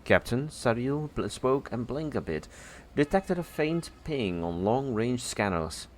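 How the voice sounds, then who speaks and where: A man reading a scripted story as narrator, halting now and then and correcting his words. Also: medium pitch, big pitch swings, slight background noise. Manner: halting, stumbles over words and corrects himself.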